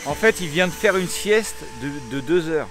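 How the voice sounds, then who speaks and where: A man's voice: high voice, expressive, normal speed, fairly bright tone, a little hiss or hum. A man in his fifties, teasing and playful, chatting casually outdoors in a rural yard. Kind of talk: joking casual chat.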